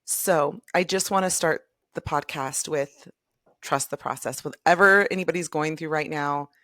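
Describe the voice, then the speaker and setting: A woman's voice: low-pitched, moderate pace, pushed and bright, no room sound, clean studio sound. A woman hosting a podcast, effusive and encouraging as she welcomes and praises her guest. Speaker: podcast host. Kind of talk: podcast interview.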